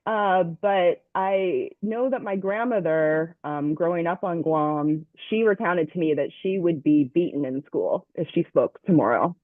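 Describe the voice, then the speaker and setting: A woman's voice: on the low side, a little slow, few pauses, no echo. Young woman on a tinny video call. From Southern California. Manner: hesitant, many ums, warm and personal. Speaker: language class student. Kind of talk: casual video call introduction. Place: home. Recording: dry tinny phone-like call audio.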